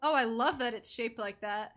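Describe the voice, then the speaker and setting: A woman's voice: on the high side, moderate pace, fairly bright tone. A playful, reactive woman streaming a game with casual commentary. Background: game music.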